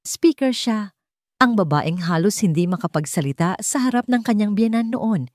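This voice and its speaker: A woman with a Filipino accent narrating a scripted dramatic story in a measured storytelling delivery. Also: medium pitch, expressive, darkish tone, dry recording.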